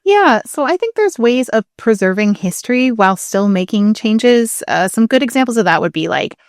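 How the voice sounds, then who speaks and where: A woman's voice: fairly high pitch, big pitch swings, normal speed, dry recording, studio quality. A woman co-hosting a podcast as a historian, explaining things in a casual, conversational manner. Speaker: podcast co-host.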